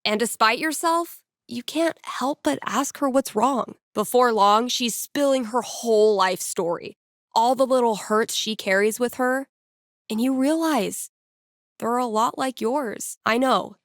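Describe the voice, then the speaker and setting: A woman's voice: a little high, a little slow, no echo, clean studio sound. A woman playing a witty young small-town radio DJ in a video game, with an American accent and a playful, conversational delivery. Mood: storytelling, earnest. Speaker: radio host. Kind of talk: casual local radio announcements, video game voice acting.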